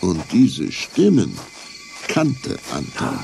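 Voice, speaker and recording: A man's voice: sits low, wildly swooping pitch, very slow, creaky, a little mellow, a little hiss or hum, poor audio. A man narrating a scripted children's story as the narrator of a radio play.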